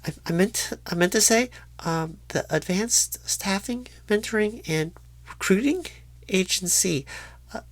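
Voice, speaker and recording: A woman playing a painfully shy, nervous rookie job recruiter in an ASMR roleplay, halting, stammering and apologetic. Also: mid-range voice, lively intonation, dry recording. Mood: awkward, earnest.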